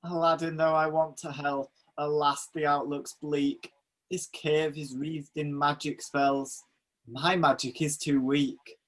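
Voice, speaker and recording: A man playing a dutiful spirit servant of a magic ring in a rhyming pantomime, solemn and husky. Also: fairly high pitch, little pitch movement, takes it slowly, a bit bright. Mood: earnest. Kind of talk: pantomime acting.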